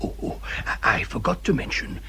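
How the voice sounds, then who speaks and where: An actor playing a flustered, fawning English gentleman's agent in a radio period drama, stammering and fussing obsequiously. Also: a little fast, a little hiss or hum, lightly acted. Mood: ingratiating.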